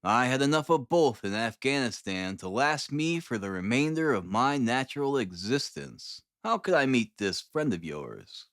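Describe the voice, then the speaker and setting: A man voicing a reserved, weary male war veteran in an audiobook, measured and frank. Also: medium pitch, very animated, fairly bright tone, no echo, studio quality. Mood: weary, polite. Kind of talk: audiobook character dialogue.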